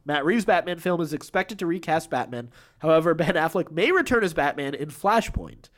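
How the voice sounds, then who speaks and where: Man, fairly high-pitched, podcast co-host, casual news chat. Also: lively intonation, a little fast, a bit bright.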